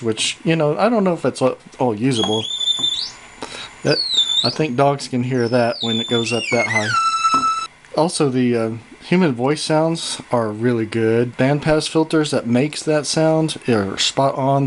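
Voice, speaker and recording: A man's voice: mid-range voice, background noise. A man giving a casual, conversational hands-on gear review in a matter-of-fact manner. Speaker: product reviewer. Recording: synthesizer sounds behind the voice. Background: synthesizer music.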